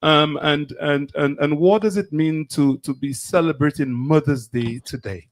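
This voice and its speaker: A man casually hosting a live online talk show, speaking haltingly and often repeating words and phrases. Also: fairly high pitch. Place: remote online video or audio call.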